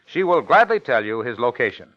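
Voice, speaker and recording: A man's voice: medium pitch, big pitch swings, fairly quick, no echo. A man announcing a vintage radio commercial in an emphatic, polished sales style. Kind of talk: 1950s radio ad pitch. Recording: dull, dry old radio recording.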